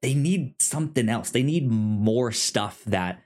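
Male film-podcast co-host, average pitch, casual conversational delivery, enthusiastic but halting and repetitive. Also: lively intonation, darkish tone. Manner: halting, repetitive, enthusiastic self-described nerd. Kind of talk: casual film discussion chat.

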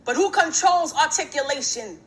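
A young woman performs spoken word poetry with a rhythmic, emphatic, rhyming drive. Her voice sits very high and rings brightly. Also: expressive, normal speed.

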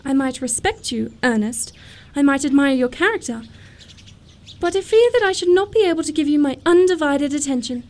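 A woman's voice: sits high, animated, normal speed, a little mellow. A woman playing a romantic, self-assured young upper-class lady in a period comedy radio play, precise and sweetly matter-of-fact. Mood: composed.

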